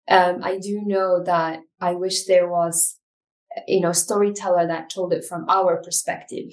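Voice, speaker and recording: Reflective woman from Ethiopia, slightly distant. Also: on the low side. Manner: reflective, frequent fillers and restarts. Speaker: guest, documentary filmmaker. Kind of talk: conversational interview answers.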